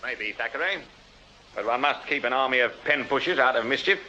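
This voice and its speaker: An actor playing a shrewd Victorian detective sergeant with an English accent, dry, clipped and authoritative in a radio drama. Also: male voice, medium pitch, expressive, a little fast, sharp tone. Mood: wry, probing. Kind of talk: British period radio drama acting. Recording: slightly dull, hissy radio-drama recording.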